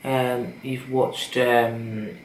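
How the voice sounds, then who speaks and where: A woman's voice: extremely low pitch, very slow. Chatty young British woman, echoey room. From Britain. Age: young adult. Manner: chatty, rambling, animated. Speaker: vlogger. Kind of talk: casual chat to camera. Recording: echoey room with faint noise.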